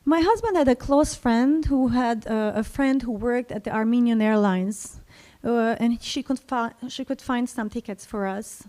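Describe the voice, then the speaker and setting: Slow, reflective woman storyteller. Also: fairly high pitch, mellow. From Syria. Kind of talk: personal storytelling to an audience. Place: library event stage.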